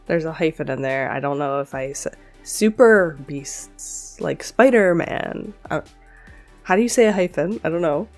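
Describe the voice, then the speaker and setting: Young woman host, slightly stuffy, chatty and loose, lowish voice, faint music under. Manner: chatty, with fillers and self-corrections. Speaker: audiobook reader and channel host. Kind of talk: story reading, then casual commentary.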